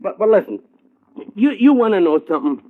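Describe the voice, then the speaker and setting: High-pitched, harried American talent agent. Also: male voice, brisk. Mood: exasperated. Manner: slangy, excitable, pleading. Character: harried, fast-talking, hustling male talent agent. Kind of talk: 1950s American radio drama acting. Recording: tinny, band-limited old radio recording.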